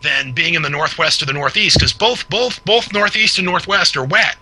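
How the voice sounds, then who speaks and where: Bright, husky middle-aged New man. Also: a little high, moderate pace, faint music behind. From Massachusetts, New England. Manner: expansive, gestures a lot while explaining. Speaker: guest health expert. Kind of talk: casual explanatory chat. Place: home room with window open and overhead fan. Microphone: headset on video call.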